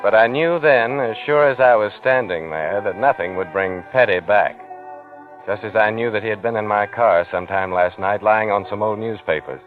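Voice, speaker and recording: A man's voice: fairly low pitch, moderate pace, phone-quality sound, natural voice. An actor as brooding man in vintage radio thriller. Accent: American. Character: uneasy, guilty man narrating his own crime story. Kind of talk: 1940s radio thriller acting, first-person narration. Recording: old radio recording, band-limited, faint music.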